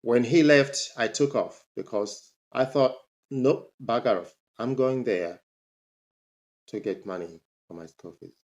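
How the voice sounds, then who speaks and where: Slow, deliberate man. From Zambia. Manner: emphatic, pitch jumps up on rhetorical questions. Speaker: motivational speaker. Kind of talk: personal storytelling to camera.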